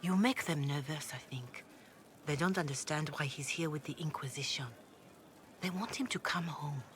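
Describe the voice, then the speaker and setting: A woman voicing a devout, solemn chantry cleric in a video game, speaking in a measured, formal, earnest way with a breathy, hushed delivery. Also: low voice. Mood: grave, concerned. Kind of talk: video game dialogue acting. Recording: clear background noise, game ambience.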